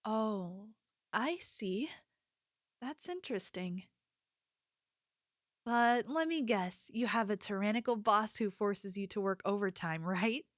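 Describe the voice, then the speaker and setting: A woman playing a sweet, attentive young convenience store clerk in an ASMR roleplay, speaking softly with a gentle, hesitant manner. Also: medium pitch, takes it slowly, pauses often, no echo, clean studio sound, lightly acted. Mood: curious, friendly. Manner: gentle, apologetic, hesitant, solicitous.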